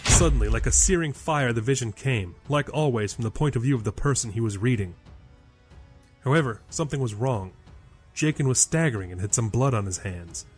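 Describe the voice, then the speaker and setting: A man's voice: medium pitch, expressive, fairly quick. Man narrating dramatic fantasy over music. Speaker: storyteller.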